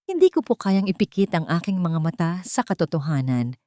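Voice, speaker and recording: A woman's voice: on the low side, big pitch swings, brisk, mellow, dry recording, clean studio sound. A woman with a Filipino accent voicing a timid but brave young waitress, polite and earnest, in a romance story. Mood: firm, brave.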